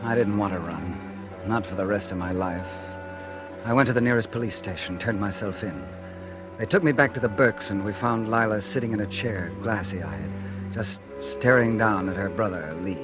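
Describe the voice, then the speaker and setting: Man acting a vengeful ex-con, low voice, brooding, clipped, 1940s radio thriller, strong music behind. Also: moderate pace, lightly acted. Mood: brooding, haunted. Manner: hard-boiled, brooding, clipped. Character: bitter vengeful ex-convict, framed man, hard-boiled narrator. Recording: old radio broadcast with loud music behind.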